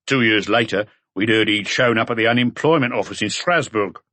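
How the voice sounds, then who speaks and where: A man's voice: on the low side, brisk, pushed and bright, no room sound. A man voicing a powerful, opinionated butcher in an audiobook, talking bluntly as a bar regular. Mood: scornful, knowing.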